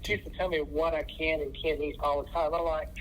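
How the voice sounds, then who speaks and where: A man's voice: a little high, level tone, a little fast, fairly bright tone, a little hiss or hum, low-quality recording. A man chatting casually as he chimes in on a conversation. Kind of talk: casual chat, brief comments.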